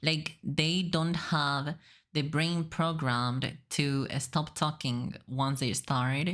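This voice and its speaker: A woman streamer from Spain talks in English with a Spanish accent, chatty and teasing as she reacts. Her voice sits low for a woman, and she speaks at an unhurried pace into her streaming mic. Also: little pitch movement, fairly bright tone. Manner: chatty, teasing, laughs often. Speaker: VTuber streamer. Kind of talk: casual reaction commentary.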